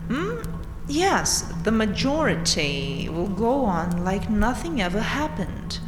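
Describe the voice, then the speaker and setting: Lady doing a cold taunting vampire queen, slow n deliberate, big castle reverb, haughty and dominant. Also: medium pitch, very animated. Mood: mocking. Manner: deliberate, haughty, menacing, with mocking pauses. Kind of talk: dramatic audio roleplay addressed to the listener. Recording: roomy, long hall-like reverb. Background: dark castle ambience music.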